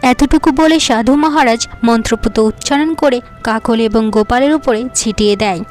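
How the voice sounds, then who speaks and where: Female narrator for fairy-tale cartoon, scripted storytelling, high pitch, music bed. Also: normal speed, a little mellow.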